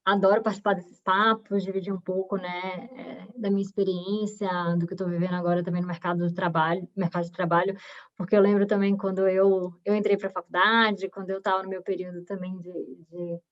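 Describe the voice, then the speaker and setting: A woman's voice: medium pitch, level tone, a little fast. A young woman in her twenties with a Bahian accent, a recruiter speaking as a webinar guest, chatty with many fillers and restarts. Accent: Bahian Brazilian Portuguese. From Bahia, Brazil. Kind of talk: webinar interview. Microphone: video call. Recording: dull lo-fi video call audio.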